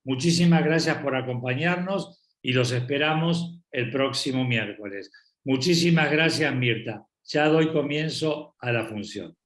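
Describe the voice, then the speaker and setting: A man's voice: medium pitch, a little slow. A man hosting a live presentation, introducing a guest and the film in a formal way. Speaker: host. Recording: echoey room.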